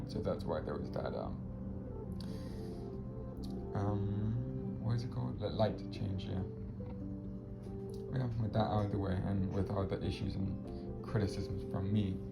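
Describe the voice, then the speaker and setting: A man with a raspy voice talking casually about his finished spray painting, hesitant with many pauses and fillers. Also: deep voice. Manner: hesitant, many ums and restarts. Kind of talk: casual video commentary. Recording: muffled audio with loud music behind. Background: loud background music.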